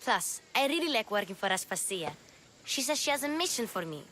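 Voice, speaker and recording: A cheerful young girl from a video game cutscene, upbeat and chirpy. Her voice sits high and bright and bounces through a wide range. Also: very animated, brisk, a little hiss or hum.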